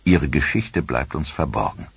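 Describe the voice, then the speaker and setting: A man narrating a documentary in a dramatic, expressive scripted manner with rhetorical questions. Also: a bass voice, moderate pace, creaky, slightly rough, no echo.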